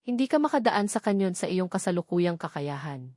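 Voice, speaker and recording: A woman's voice: on the low side, big pitch swings, brisk, a little mellow, no room sound, studio quality. A woman voicing a cautious young female disciple in a storybook, respectful toward her master. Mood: anxious, warning.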